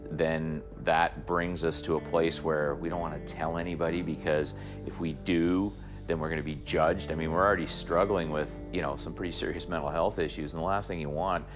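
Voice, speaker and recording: A man in his fifties speaks earnestly in a documentary interview, his very deep voice soft and halting as he repeats words to find the right ones. Clear music plays underneath. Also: steady tone. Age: 50s. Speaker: retired Air Force officer sharing his experience. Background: background music.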